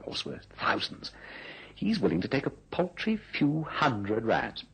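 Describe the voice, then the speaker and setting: An actor playing a scheming, smooth-talking businessman in a radio drama, with a glib, wheedling and condescending manner. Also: medium pitch, huge pitch swings, unhurried, a bit bright, heavily acted, rough recording. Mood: wheedling, seductive. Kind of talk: old-style radio drama acting.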